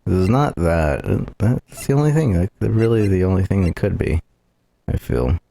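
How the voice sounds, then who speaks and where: Low-voiced male game streamer. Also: lively intonation, normal speed, gravelly, mellow. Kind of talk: casual live game commentary.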